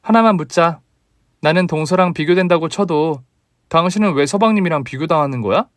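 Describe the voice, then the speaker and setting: A young daughter-in-law who stays courteous yet pushes back firmly, her voice lifted noticeably higher, as a man puts her on. The delivery is earnest and a little indignant. The sound is dry and close. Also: brisk, dry recording, lightly acted. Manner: polite, indignant, pleading. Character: young wife, polite but firm daughter-in-law.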